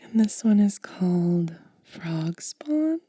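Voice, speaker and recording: A woman doing a whispered ASMR unboxing, breathy and gentle, lingering over her words close to the microphone. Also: on the low side, big pitch swings, slow pace, dry recording. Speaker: ASMR host.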